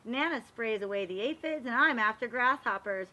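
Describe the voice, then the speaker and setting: A woman reading a picture book aloud to young children, animated and expressive, pausing to explain and ask questions. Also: a little high, lo-fi sound. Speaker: read-aloud storyteller for children.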